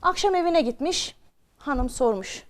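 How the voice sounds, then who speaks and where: Expressive woman storyteller for children. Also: high-pitched, measured pace. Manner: expressive, asks listeners questions.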